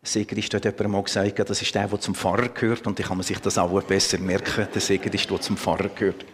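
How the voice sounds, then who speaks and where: A man's voice: sits low, flat delivery, fast, long reverb tail, slight background noise. A man in his fifties with a Swiss German accent, preaching a sermon in an earnest, conversational way with many fillers. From Canton of Lucerne, Switzerland. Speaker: pastor.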